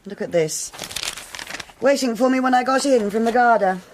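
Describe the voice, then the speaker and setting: An actress playing an authoritative senior police officer giving clipped orders in a radio crime drama. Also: female voice, fairly high pitch, normal speed, slight background noise, close to an everyday voice. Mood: brisk. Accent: British English. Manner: crisp, clipped commands. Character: brisk, authoritative woman police chief.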